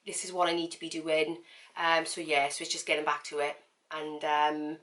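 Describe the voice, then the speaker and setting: A woman talks straight to her viewers in a casual vlog, her voice a little croaky and pitched on the low side. She chats frankly and loosely, circling back with fillers and repeated words. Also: completely flat delivery, moderate pace, a bit bright. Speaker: vlogger. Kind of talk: casual talk to camera.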